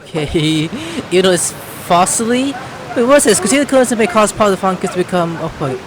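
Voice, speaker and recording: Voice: medium pitch, animated, normal speed, a crowd in the background. A game streamer giving casual, rambling commentary, thinking aloud while playing. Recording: game music behind the voice.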